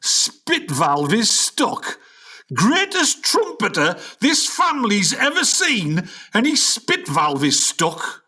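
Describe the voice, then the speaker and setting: A man's voice: high voice, wildly swooping pitch, takes it slowly, pushed and bright, exaggerated voice. A man playing an aging, grumpy, melodramatic jazz musician with a North of England accent in a comic radio play. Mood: grumbling. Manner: griping, melodramatic, indignant.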